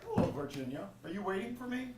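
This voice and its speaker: A performer with a woman's voice playing a kindly, gentle father in a stage radio play, soothing and proper. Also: medium pitch, very animated, fast, lightly acted. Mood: gentle concern. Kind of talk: stage radio drama acting. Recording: echoey theatre, distant mic, faint noise.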